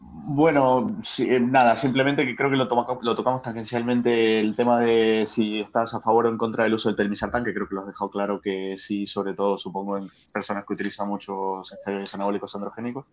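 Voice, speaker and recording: A man's voice: fairly low pitch, little pitch movement, brisk, hardly any pauses, lo-fi sound, a little hiss or hum. A man hosting a casual interview chat in an agreeable, hedging way. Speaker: podcast host.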